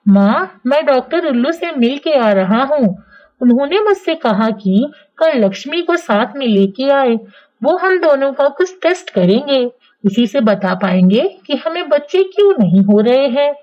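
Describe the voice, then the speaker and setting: A woman plays an obedient grown crow son in a cartoon moral tale. The voice is high, polite and earnest, with a muffled lo-fi sound. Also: animated, a little slow. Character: dutiful adult male crow son, plain-spoken and obedient. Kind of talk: Hindi cartoon moral story dialogue. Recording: dull, lo-fi sound.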